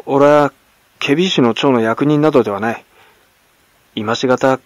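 Young servant turned robber, voiced by a male reader: commanding, scornful, pitch lifted, close dry sound. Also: normal speed, no room sound, lightly acted. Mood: firm, commanding. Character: stern, menacing young manservant turning robber.

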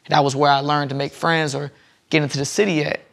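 A man's voice: on the high side, little pitch movement, fast, vocal fry, a bit bright. A young man guesting on a podcast, telling rambling stories in casual chat with many restarts, on a lapel mic in a studio lounge. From Southern United States. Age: young adult.

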